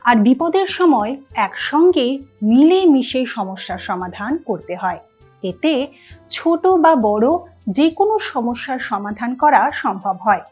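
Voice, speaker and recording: A woman hosts a children's story show, speaking cheerfully and directly to young listeners. Her high, animated voice has a breathy edge and sits in a slightly dull, lo-fi recording with music in the background. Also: slow. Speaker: channel host addressing children. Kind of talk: friendly children's show intro and outro.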